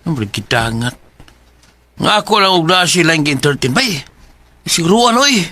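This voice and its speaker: A man's voice: fairly high pitch, very animated, moderate pace, pushed and bright, exaggerated voice. An actor with a Cebuano Filipino accent playing a blunt young man giving his buddy advice in a radio drama. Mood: cautioning.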